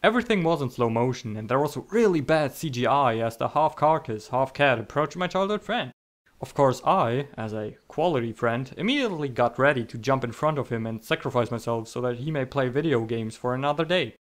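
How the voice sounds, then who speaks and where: A man's voice: mid-range voice, lively intonation, brisk. A man telling comedic scripted stories in a theatrical, sarcastic, mock-dramatic manner. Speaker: storytelling video creator.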